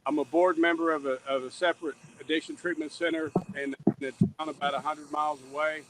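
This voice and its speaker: A middle-aged man with a fairly high voice speaks as an interview guest. He sounds earnest and plain-spoken, often repeating a phrase to press his point. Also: steady tone, quick pace, noisy background. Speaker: podcast guest, reentry advocate. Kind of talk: candid conversational interview answers.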